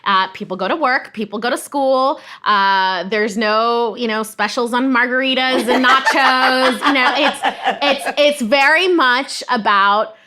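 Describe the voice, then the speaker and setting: Woman, bright forceful voice, conversational expert guest who explains with emphasis and a teacherly bent. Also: a little high, unhurried, slight background noise. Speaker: guest expert, museum director of education. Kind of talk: explanatory conversational history telling.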